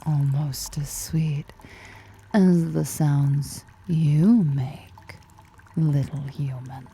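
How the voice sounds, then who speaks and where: A woman's voice: fairly even intonation, extremely slow, mellow, slight background noise, full character voice. A woman playing a powerful, predatory drider ruler in an ASMR roleplay, half-whispering with teasing, flirtatious menace. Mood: seductive, teasing. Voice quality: breathy, half-whispered, creaky low voice.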